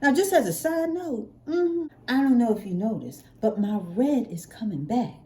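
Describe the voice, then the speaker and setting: An American woman vlogger chatting casually to her viewers in a playful, expressive way, stretching words for emphasis. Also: a little high, moderate pace. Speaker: hair care vlogger.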